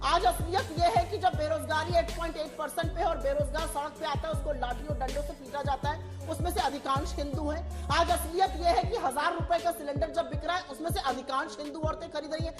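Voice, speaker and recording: A woman's voice: sits high, fairly even intonation, quick pace, few pauses. A woman making an emphatic, rhetorical political argument. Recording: hip hop music laid over speech.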